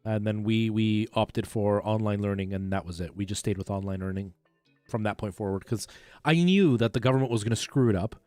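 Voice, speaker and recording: A man's voice: fairly low pitch, a little fast, darkish tone, dry recording. A man, chatting casually as he streams a retro video game. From Canada. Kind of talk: casual chat while playing a retro game on a livestream. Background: faint game music.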